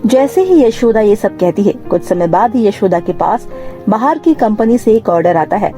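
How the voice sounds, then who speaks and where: A woman's voice: medium pitch, animated, normal speed, mellow, soft background music. A woman reading a scripted moral story for children as the narrator.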